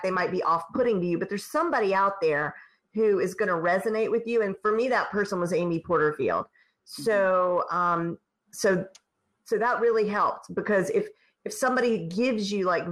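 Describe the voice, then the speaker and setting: A woman's voice: medium pitch, a bit bright, rough recording. A woman guest on a podcast who creates online courses, talking in a chatty way with frequent ums and uhs. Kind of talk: podcast interview.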